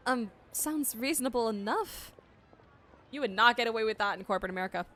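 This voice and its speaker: A woman streamer giving casual reaction commentary in an expressive, gushing, slangy way. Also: fairly high pitch, fairly quick, slight background noise. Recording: game music behind the voice.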